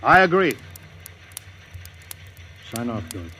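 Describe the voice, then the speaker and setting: An actor playing a stern, resolute starship commander with an authoritative, deliberate manner in a 1950s radio drama. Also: male voice, medium pitch, sing-song. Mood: formal, decisive. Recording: noisy vintage 1950s radio recording.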